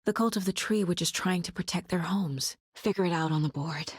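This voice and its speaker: An actress playing a determined, focused female FBI agent in a video game, with a serious, measured investigator's delivery. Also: on the low side, husky, no echo. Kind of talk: scripted video game dialogue and inner monologue.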